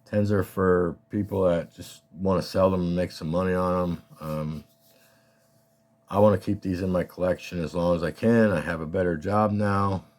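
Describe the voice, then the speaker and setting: A man with a slightly scratchy voice, casually and haltingly talking through his collection as a hobbyist host. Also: deep voice, steady tone, darkish tone. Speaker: collector hosting a card showcase. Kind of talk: casual rambling show-and-tell talk.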